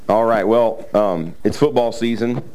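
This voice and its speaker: A middle-aged man preaching a sermon, a little raspy, talking in a loose conversational way with frequent fillers and throat clearing. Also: medium pitch, slow pace, a little mellow. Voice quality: slightly raspy from cheering. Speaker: pastor. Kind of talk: sermon with scripture reading.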